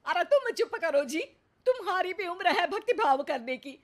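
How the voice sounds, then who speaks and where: A woman's voice: extremely high pitch, animated, moderate pace. A woman voicing a stubborn, devout, bossy elderly mother-in-law in a cartoon moral story, with dramatic scolding.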